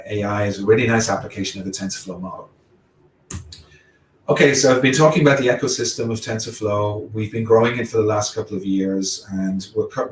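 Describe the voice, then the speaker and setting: A man's voice: lowish voice, echoey room, recorded from a distance, slight background noise, poor audio. A man with a mixed Irish, Welsh, English and American accent, giving a technical talk as guest speaker and explaining ideas step by step. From Ireland, Wales, England and the United States. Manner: explanatory, walking through examples step by step.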